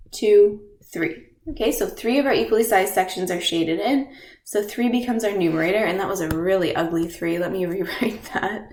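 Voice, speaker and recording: A woman teacher with a stuffy nose from a cold, patiently explaining fractions step by step and often checking in with "okay?". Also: medium pitch. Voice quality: nasal. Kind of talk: recorded math lesson. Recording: echoey room.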